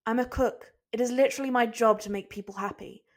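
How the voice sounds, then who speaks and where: A woman's voice: a little high, fairly even intonation, fast talker, pitched above the performer's own voice, natural voice. Proud young male cook, performed by a woman: theatrical, mocking, easily indignant, sure of his own charm. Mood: boastful. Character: proud, dramatic male cook, smug romantic.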